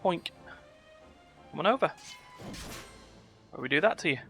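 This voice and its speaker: A man's voice: a little high, lively intonation, unhurried, bright, forceful tone. A man giving live video game commentary, full of playful exclamations and muttered asides. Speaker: game streamer. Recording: game music behind the voice. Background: video game music.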